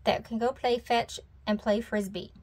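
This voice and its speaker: A woman's voice: fairly high pitch, steady tone, moderate pace, darkish tone, close to an everyday voice. Storybook papa bear, read by a woman: easygoing, emphatic, enthusiastic. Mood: upbeat.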